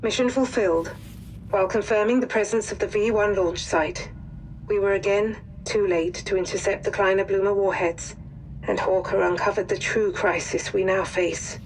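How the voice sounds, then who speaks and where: An actress playing a composed, grave wartime intelligence officer delivering a formal mission briefing in a video game, with measured, clipped phrasing. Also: female voice, mid-range voice, steady tone, slight background noise, close to an everyday voice, poor audio. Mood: businesslike.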